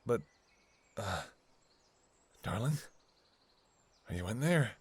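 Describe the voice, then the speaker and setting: A man performs a devoted male doctor in an intimate audio drama, speaking to a lover. The voice is breathy and a little rough, with wide swings in pitch. The delivery is slow, halting and emotionally raw. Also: expressive, no echo, heavily acted. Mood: uneasy, hesitant. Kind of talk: ASMR audio roleplay, intimate dramatic monologue.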